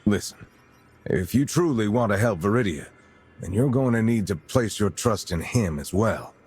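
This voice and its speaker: An actor playing a grave veteran lord and military commander in a video game, speaking in a formal, measured way. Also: male voice, lowish voice, lively intonation, a little mellow, breathy edge. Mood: solemn, earnest. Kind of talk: dramatic video game cutscene acting.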